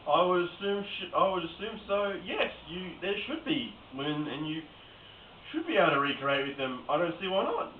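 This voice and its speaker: Guy playing offhand doomsday doctor, kinda high voice, blunt, comedy skit, echoey tinny far mic. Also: very animated, moderate pace. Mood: matter-of-fact. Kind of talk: amateur mock lecture and Q&A. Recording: roomy, distant, tinny, hissy home recording.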